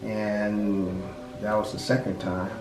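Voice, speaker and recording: Low-voiced man in his sixties. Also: level tone, takes it slowly. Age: 60s. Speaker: interviewee. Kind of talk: personal testimony. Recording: roomy echo with guitar music.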